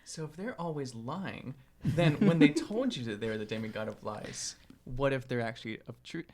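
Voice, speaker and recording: Animated male tabletop player. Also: medium pitch, moderate pace, a crowd in the background. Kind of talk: casual banter, thinking aloud.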